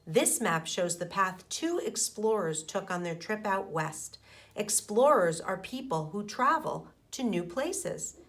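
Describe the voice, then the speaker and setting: Slow-paced woman teacher reading to children. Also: mid-range voice, very animated. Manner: simple, deliberate phrasing for young children, with questions to listeners. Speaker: elementary school teacher. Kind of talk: children's picture book read-aloud with explanations.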